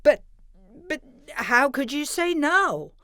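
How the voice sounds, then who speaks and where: A woman's voice: high-pitched, big pitch swings, slow pace, a bit bright, no echo, clean studio sound, heavily acted. A woman playing a scheming, haughty female corporate villain in an audio play, pompous and theatrical in manner. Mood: wheedling.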